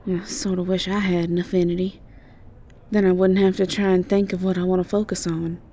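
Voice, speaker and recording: A woman's voice: on the low side, level tone, a little fast, warm tone, a little hiss or hum, close to an everyday voice. A woman playing a sweet, ditzy young university student with a Southern US accent in an audio roleplay. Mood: bubbly, curious. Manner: chatty, eager, naive.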